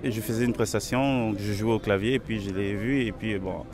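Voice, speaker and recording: A man telling a personal story in a halting, hesitant way, recorded outdoors in a city. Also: on the low side. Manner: hesitant, many pauses. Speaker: interviewee. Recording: music playing over.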